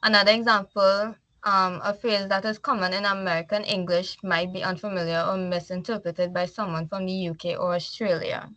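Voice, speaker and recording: A woman's voice: mid-range voice, little pitch movement, moderate pace, few pauses, sharp tone, dry recording, lo-fi sound. A woman from Trinidad with a Trinidadian accent, giving a class slide presentation. She reads from slides, then explains in loose everyday talk.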